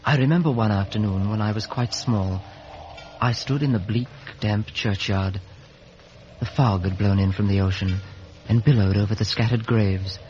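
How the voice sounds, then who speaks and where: An actor playing a grown British man soberly recalling his bleak childhood in measured radio drama narration. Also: fairly low pitch, a little mellow, close to an everyday voice. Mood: somber, reflective. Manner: measured, grave, literary. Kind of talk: scripted first-person radio drama narration. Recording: dull, hissy 1950s radio recording.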